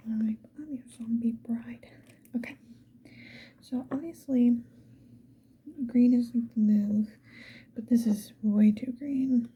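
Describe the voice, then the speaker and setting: A woman doing casual ASMR, whispering softly and musing to the viewer in an unhurried get-ready-with-me chat. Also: fairly high pitch, breathy edge. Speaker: ASMR video creator. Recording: muffled lo-fi with background hiss.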